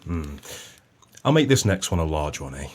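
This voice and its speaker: A pub landlord for an audio play, his voice very low. He is measured and pointedly polite, with a cold, vengeful menace. There is faint noise on the recording. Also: big pitch swings, a little mellow. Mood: falsely genial.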